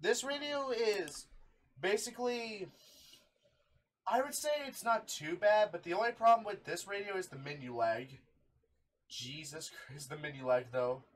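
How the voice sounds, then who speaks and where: A man's voice: high voice, unhurried, rough recording. A man hosting a casual hobby show-and-tell, chatting informally with friends. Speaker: video host showing a radio collection.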